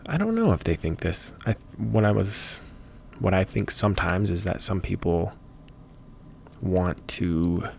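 A man speaks slowly in a low, gravelly voice, musing aloud on a solo podcast. He rambles thoughtfully and stops to correct and rephrase himself as he goes. A faint noise sits under the recording.

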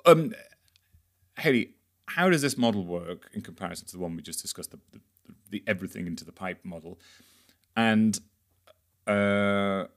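A man hosting a podcast, casually moderating an interview in a wry, halting way. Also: lowish voice. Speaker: podcast host.

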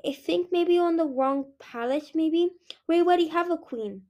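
A flock of impertinent baby dragons, piping up in high, squeaky little voices, as a woman reading aloud plays them. They chatter like small children, stumbling over words and blurting out whatever comes to mind. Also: moderate pace, mellow. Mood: cheeky.

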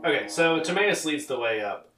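A man narrating as game master for a tabletop game podcast, relaxed and joking. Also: fairly high pitch, normal speed, roomy sound, distant mic, soft background music.

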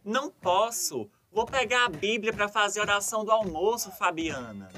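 Woman playing a sharp, pious household grumbler. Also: medium pitch, very animated, normal speed. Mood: resigned. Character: pious, irritable churchgoer of the household. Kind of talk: radio soap acting.

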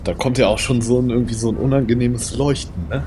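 A man's voice: medium pitch, quick pace. A man hosting a casual, improvised audio play, talking in a colloquial style with exclamations. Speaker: podcast host and game leader. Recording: clear vehicle-like background noise.

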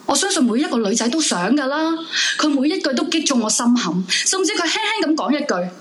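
A woman's voice: high voice, sing-song, fast talker, slight background noise. An actress playing an anguished, sleepless young woman left by her boyfriend, emotional and pleading, in a Cantonese radio drama. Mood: distraught, bitter. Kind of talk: Cantonese live radio drama acting with narrated monologue. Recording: music behind the voice. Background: background music.

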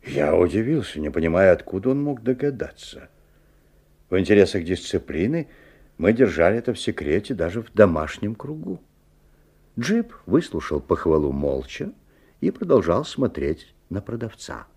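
An actor playing a bemused, observant father who narrates a magical story in a radio drama, with measured, expressive storytelling. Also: low voice, breathy edge, heavily acted.

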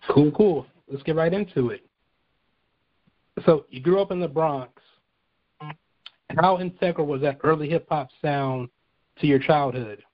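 A man's voice: on the high side, measured pace, long pauses, no room sound, muffled recording. A man interviewing a guest, asking questions with short, upbeat prompts.

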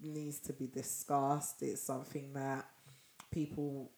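A woman co-hosting a casual podcast, her voice hoarse and froggy as she loses it, laughing often. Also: very deep voice, flat delivery, takes it slowly, a little mellow, reverberant. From Nigeria. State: losing her voice. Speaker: podcast co-host. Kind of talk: casual chat.

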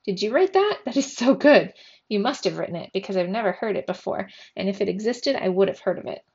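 A woman voicing an excitable, theatrical young girl in a storybook, teasing and exclaiming dramatically. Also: medium pitch, fast talker, creaky, dull audio. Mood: thrilled.

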